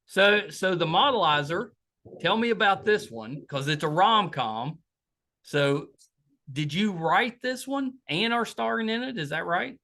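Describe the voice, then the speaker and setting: Male podcast host, fairly high voice, bright tone, upbeat and agreeable interviewer. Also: animated, measured pace. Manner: upbeat, agreeable, short affirmations. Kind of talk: casual interview.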